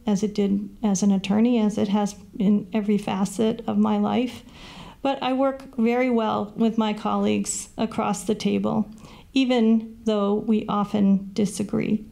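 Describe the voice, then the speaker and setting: A woman in her sixties delivers a measured, earnest statement at a candidate forum. Her voice has a gravelly, fry-tinged edge at a middling pitch. She speaks into a table microphone in a small meeting room. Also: dark tone. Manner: earnest, measured, with a brief laugh at running out of time. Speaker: political candidate, incumbent councilor. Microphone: table microphone on a stand.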